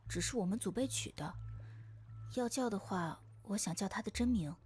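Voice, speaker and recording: A woman's voice: medium pitch, normal speed, a little mellow, faint music behind, close to an everyday voice. A woman playing a composed young storyteller in a visual novel. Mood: quietly serious. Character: composed young woman recounting her family's old legend. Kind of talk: visual novel character dialogue.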